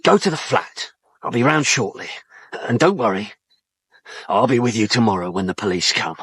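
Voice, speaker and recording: Very raspy, controlling Englishman. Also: lowish voice, expressive, normal speed, fairly bright tone, no room sound. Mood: controlled, stern. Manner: commanding, controlled. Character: controlling, calculating man coaching his anxious accomplice. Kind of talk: British radio drama dialogue.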